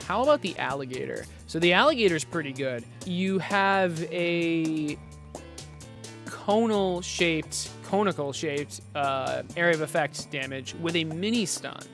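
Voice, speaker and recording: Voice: medium pitch, measured pace, a bit bright, faint music behind. A game developer giving casual gameplay commentary, explaining things step by step and correcting themselves along the way. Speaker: game developer demoing own game.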